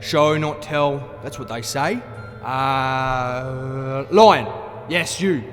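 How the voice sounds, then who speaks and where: A man's voice: mid-range voice, very slow, a bit bright. An actor playing a powerful gnome king in a fantasy audio drama, gleefully menacing and mock-polite, toying with his listeners and swinging from chatty to grand. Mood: breezy showmanship. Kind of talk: full-cast fantasy audio drama acting. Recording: echoey reverberant hall, music underneath.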